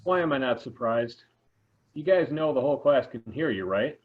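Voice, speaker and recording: A man's voice: medium pitch, lively intonation, normal speed, natural voice. Man reading as schoolteacher, tinny phone-like sound. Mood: exasperated. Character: male high school teacher, exasperated but game schemer. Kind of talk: amateur table read. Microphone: video call. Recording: tinny phone-like video call.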